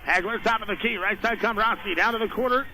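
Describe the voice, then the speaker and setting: A man's voice: high voice, fast, pushed and bright. An American man doing live radio play-by-play of a basketball game in clipped, running phrases. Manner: clipped, running description of the action. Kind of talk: live radio sports commentary. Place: indoor basketball gym during a game. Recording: noisy live radio gym broadcast.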